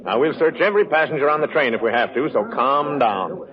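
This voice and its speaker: An actor playing a gruff, impatient, sarcastic middle-aged police inspector with a brusque, blustering manner in a 1940s radio drama. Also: male voice, mid-range voice, animated, fairly quick, crowd noise, lightly acted. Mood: brisk, confident. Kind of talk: 1940s radio detective acting. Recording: dull old radio recording.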